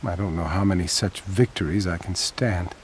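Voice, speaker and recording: A man's voice: sits low, steady tone, normal speed, a little hiss or hum, lightly acted. A man playing a stoic scholar in a fantasy video game, speaking formally and precisely with a dry delivery. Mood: solemn. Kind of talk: video game cutscene acting. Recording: voice over loud cinematic music.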